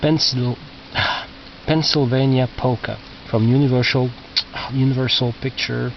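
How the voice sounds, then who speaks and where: A man who is not a native English speaker, casually talking through his collection as a record collector, halting and muttering as he reads out labels, on a cheap video camera microphone. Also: mid-range voice, steady tone, creaky. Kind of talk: casual narrated show-and-tell of collection. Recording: muffled cheap video camera, loud noise.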